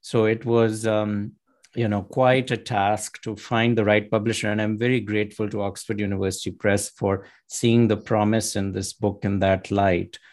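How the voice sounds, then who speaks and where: A man answering interview questions as an author and academic, speaking in an articulate, measured way with light laughs. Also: lowish voice, little pitch movement, darkish tone. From United States and Pakistan.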